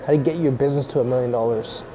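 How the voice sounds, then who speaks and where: A man's voice: mid-range voice, very fast, rough recording. A man in his forties answering business questions in a casual, blunt way, often restarting his sentences, recorded on a camera indoors. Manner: blunt, conversational, frequent restarts. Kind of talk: casual Q&A chat. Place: indoor atrium of a mall or coffee shop. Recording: music under the voice. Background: background music.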